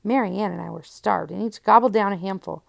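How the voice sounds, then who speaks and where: A woman narrates a children's novel in the first person. Her voice sits at a middle pitch with very heavy vocal fry, and she tells the story expressively. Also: brisk. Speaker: audiobook reader.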